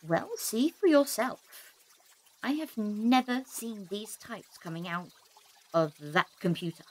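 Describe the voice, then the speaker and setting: A woman's voice: mid-range voice, slow, poor audio. A woman playing a flustered, hesitant female lab assistant in an audio drama. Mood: matter-of-fact. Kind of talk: fan audio drama acting.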